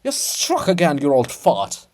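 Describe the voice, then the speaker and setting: A man's voice: fairly high pitch, wildly swooping pitch, a little slow, a little mellow. A man voicing an irritable, sarcastic female monument in a dramatic reading of a farce, scolding and biting. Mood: scornful.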